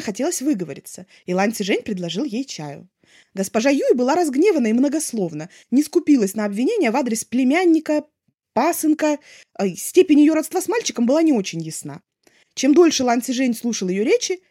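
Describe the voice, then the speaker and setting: A woman reading scripted fiction as an expressive audiobook narrator. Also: on the high side, slightly rough. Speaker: podfic narrator.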